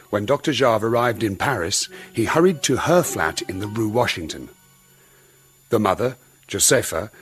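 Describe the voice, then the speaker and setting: A man playing a sober reporter, giving a measured, matter-of-fact account in a radio drama. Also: on the low side, natural voice. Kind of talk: scripted news-report narration in radio drama.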